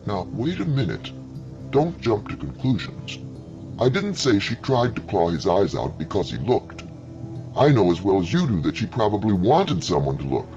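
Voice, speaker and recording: A man's voice: lowish voice, big pitch swings, normal speed, warm tone, dull audio, soft background music. A man narrating a scripted story in a conversational way, with wry asides to the listener.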